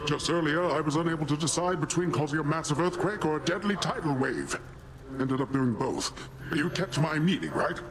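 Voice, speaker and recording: An actor playing a boisterous, pompous middle-aged sea god in a video game, with grandiose, theatrical delivery. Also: male voice, on the high side, expressive, a little fast, a crowd in the background, lightly acted. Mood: pompous, griping. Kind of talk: scripted video game character acting. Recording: voice under very loud noise.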